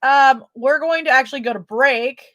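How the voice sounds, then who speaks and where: A woman's voice: high-pitched, moderate pace, fairly bright tone. A woman co-hosting a true-crime podcast, moving between reading notes and informal banter with her partner. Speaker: podcast co-host. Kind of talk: true-crime podcast talk, part read from notes, part casual chat.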